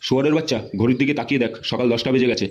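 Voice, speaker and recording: A man's voice: mid-range voice, very fast, close to an everyday voice. A man voicing a stubborn, scolding cartoon father who is bossy and combative, with a West Bengali accent. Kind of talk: comedy cartoon dialogue. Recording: dull lo-fi sound, faint noise.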